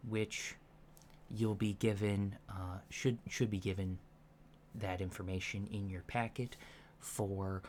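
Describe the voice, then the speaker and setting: A man playing an earnest, matter-of-fact alien instructor in human disguise, speaking softly and deadpan in an ASMR roleplay lecture. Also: lowish voice, slow pace. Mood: calm, instructive. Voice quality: soft, hushed voice. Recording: lo-fi with steady background noise.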